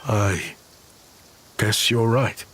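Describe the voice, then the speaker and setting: A man playing a humbled, sincere uncle in a video game, speaking in a halting, subdued, earnest way. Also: on the low side, noisy background. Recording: music clearly behind the voice.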